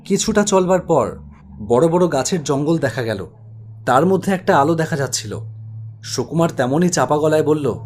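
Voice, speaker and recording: A man's voice: a little high, expressive, moderate pace, darkish tone, a little hiss or hum. A man narrating a scripted spooky story in a dramatic, suspenseful way.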